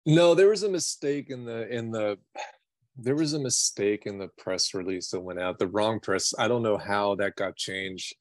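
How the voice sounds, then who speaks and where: Low-pitched American man, rambling and self-deprecating. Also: moderate pace, no echo. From New York City, United States. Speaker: singer-songwriter being interviewed. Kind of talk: casual interview chat.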